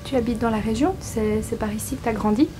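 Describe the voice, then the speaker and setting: A woman's voice: a little high, quick pace, background noise. A woman asking informal questions as an interviewer. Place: musical theatre school after rehearsal.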